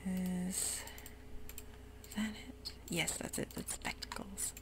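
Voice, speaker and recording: A woman whispering soft, hushed ASMR narration over gameplay at home, with frequent ums, as keyboard clicks sound behind her. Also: on the low side, steady tone, takes it slowly, noisy background. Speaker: ASMR gaming creator.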